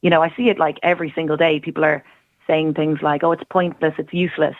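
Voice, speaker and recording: A woman's voice: low voice, level tone, quick pace, no room sound, narrow, tinny audio. An Irish woman, a language teacher and guest, talking earnestly and eagerly in long runs on a radio chat show. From Ireland. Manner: earnest and enthusiastic, talks in long flowing runs. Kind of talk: casual radio interview.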